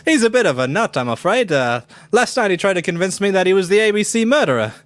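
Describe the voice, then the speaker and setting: A man playing a bluff, excitable upper-class British gentleman with theatrical exclamations in an amateur radio play. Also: high-pitched, fast talker, dry recording. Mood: startled, blustering. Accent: put-on British. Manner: theatrical, old-fashioned exclamations.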